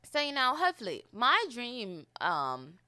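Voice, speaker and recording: A young woman from Florida chats in an affected British accent laid over her American one. Her voice is bright and swings widely in pitch as she rambles expressively to listeners. The sound is dry and close, like a casual solo podcast. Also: measured pace, clean tone, dry recording. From Florida, United States. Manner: expressive, rambling, exasperated emphasis with repeated phrases. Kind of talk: casual, chatty monologue.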